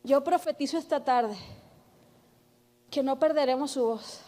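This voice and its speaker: A woman pastor preaching a sermon, emphatic and loud, calling on the congregation to repeat after her. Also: high-pitched, a little slow. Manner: shouting, emphatic preaching with call-and-response.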